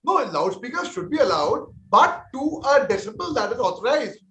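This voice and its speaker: Earnest high-voiced Indian man, echoey. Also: big pitch swings, moderate pace, a bit bright, slight background noise. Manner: earnest, conciliatory, often repeats and restarts words. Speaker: army veteran panelist on a TV debate. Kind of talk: televised panel debate.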